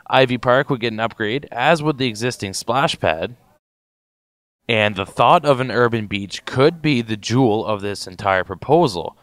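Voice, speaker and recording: A man's voice: medium pitch, dry recording. A man reading scripted narration as the reporter of a short documentary.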